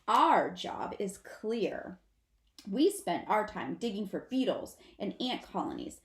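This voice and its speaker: A woman's voice: medium pitch, big pitch swings, slow pace. A woman voicing a patient, protective mother possum who instructs her young in a children's storybook. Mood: firm. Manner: instructive, emphatic. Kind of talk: children's storybook read-aloud. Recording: roomy, echoing sound.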